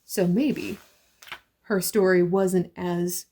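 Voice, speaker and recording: A woman's voice: lowish voice, steady tone, very slow, warm tone. A woman hosting a review, retelling and critiquing a story in an emphatic, conversational way with rhetorical questions. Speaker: comic book reviewer and host.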